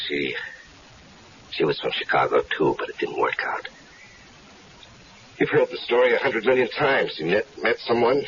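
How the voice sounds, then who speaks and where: A wry, easygoing stranger speaks in a very low voice. He tells his story casually, with dry humor. The audio is muffled, like a 1970s radio broadcast. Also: a bit bright, slight background noise. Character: easygoing stranger caught up in a plot. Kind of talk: 1970s radio drama acting. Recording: dull lo-fi 1970s radio recording.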